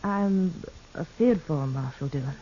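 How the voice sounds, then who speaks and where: A woman's voice: deep voice, normal speed, mellow, natural voice. A woman playing an earnest, worried young ranch sister in a 1950s radio western, with a rural American accent. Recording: dull, noisy 1950s radio recording.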